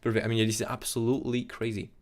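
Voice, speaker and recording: Fast, gravelly young Frenchman, excitable. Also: mid-range voice. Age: young adult. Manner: excitable, repeats "absolutely insane", many restarts. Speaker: crypto chart analyst streamer. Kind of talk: casual live-stream commentary.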